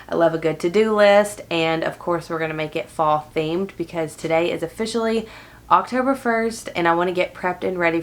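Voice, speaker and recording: A woman in her thirties talks straight to the camera from a cozy living room. Her voice sits a little low and sounds nasal and stuffed up, as if her head is congested. She keeps a chatty, upbeat, friendly tone. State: congested from allergies. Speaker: lifestyle vlogger. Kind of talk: casual talk to camera.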